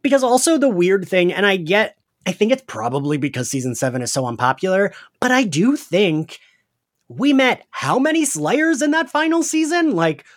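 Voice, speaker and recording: A man's voice: high voice, big pitch swings, moderate pace. A man hosting a casual podcast chat in an agreeable, giggly manner. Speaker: podcast host.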